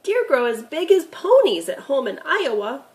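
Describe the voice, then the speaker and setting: A woman's voice: high voice, sing-song, normal speed, pitched above the performer's own voice. A woman voicing a curious, eager young boy in a children's storybook reading. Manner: eager, inquisitive.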